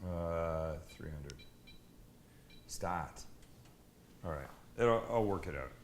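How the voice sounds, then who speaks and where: A man's voice: low-pitched, lively intonation, takes it slowly, a little hiss or hum. A man hosting a live cooking show, chatting casually, joking and swearing now and then.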